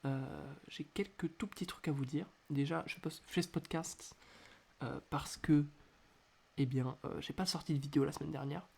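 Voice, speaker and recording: A man hosting a casual solo podcast, talking quietly at home with a stuffy nose from a cold, hesitating often with filler sounds. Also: a little high, normal speed, creaky, slightly rough. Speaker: podcast host. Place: indoors at home at night.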